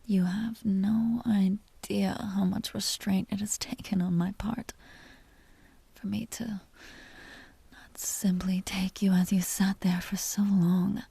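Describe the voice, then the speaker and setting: A woman playing a seductive, possessive vampire duchess in an intimate audio roleplay, teasing and genteel in a breathy whisper. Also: medium pitch, steady tone, dark tone, no echo, full character voice. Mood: hungry, commanding. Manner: slow, teasing, genteel and commanding.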